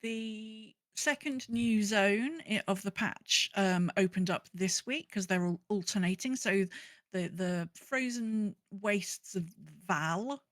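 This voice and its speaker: A woman's voice: mid-range voice, takes it slowly, no echo. A woman hosting a gaming podcast in casual, conversational chat. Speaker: podcast host.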